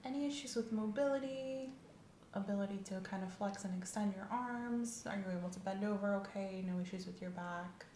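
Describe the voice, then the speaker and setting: A woman's voice: medium pitch, fairly quick, warm tone, slight background noise. Woman in roomy ASMR medical roleplay. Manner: methodical, questioning, professional bedside delivery. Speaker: ASMR performer playing a medical examiner.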